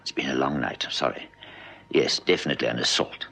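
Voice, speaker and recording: Very deep, gravelly doctor. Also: male voice, fairly quick, bright, forceful tone, full character voice. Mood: weary, matter-of-fact. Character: brisk male hospital doctor, weary, dryly facetious. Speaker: actor in a radio police drama. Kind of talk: old South African radio drama acting.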